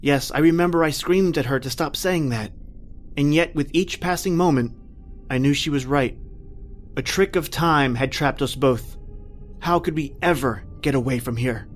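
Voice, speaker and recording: A man's voice: fairly high pitch, normal speed, soft background music, lightly acted. An actor playing an anguished married man trapped in prehistoric time, telling his horror in melodramatic old-time radio style. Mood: haunted, horrified. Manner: melodramatic, intense, repetitive narration.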